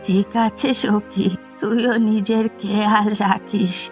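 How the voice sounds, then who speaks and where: Woman voicing a slow, plaintive village mother. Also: medium pitch, flat delivery, faint music behind, natural voice. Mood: resigned advice. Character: weary village mother burdened by a lazy son. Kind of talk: Bengali fairy-tale cartoon dialogue.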